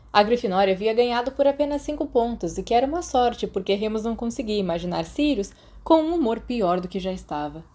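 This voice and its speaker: Female narrator, Brazilian accent, dark mellow tone, mid pitch, scripted storybook reading. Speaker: audiobook narrator. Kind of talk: scripted fan fiction narration.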